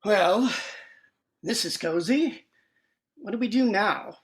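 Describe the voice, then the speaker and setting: A man's voice: high-pitched, slow. A man playing a genteel, droll and fussy sidekick with arch, florid phrasing in an old-time radio drama. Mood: flustered, wry. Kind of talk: 1940s radio comedy-drama acting.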